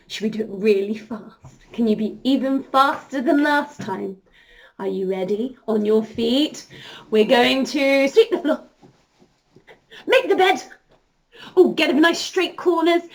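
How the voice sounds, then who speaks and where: A woman telling an interactive story to young children as a princess entertainer, animated and encouraging, speaking directly to them. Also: high voice.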